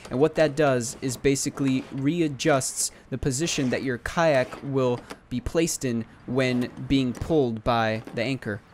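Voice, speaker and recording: A man's voice: mid-range voice, steady tone, measured pace, few pauses, slight background noise. A young man in casual talk explaining a homemade kayak anchor, partly from a kayak on a lake, with fillers and restarts. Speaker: DIY video maker. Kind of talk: instructional voice-over and on-water demo.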